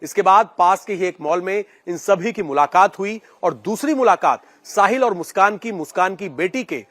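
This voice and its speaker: A man's voice: sits high, animated, normal speed, a bit bright. A man working as a news reporter, explaining a case in narrated report style.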